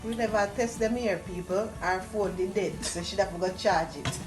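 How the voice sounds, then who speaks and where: A woman's voice: medium pitch, moderate pace. A middle-aged woman with a Jamaican accent giving casual cooking instruction as a host. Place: echoey home kitchen. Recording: echoey room, faint music. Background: faint music.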